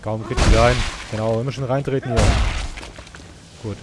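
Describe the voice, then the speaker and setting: A man's voice: medium pitch, steady tone, moderate pace, heavy background noise. A man giving casual, slangy gaming commentary, playfully griping and talking to the game's characters. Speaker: let's player.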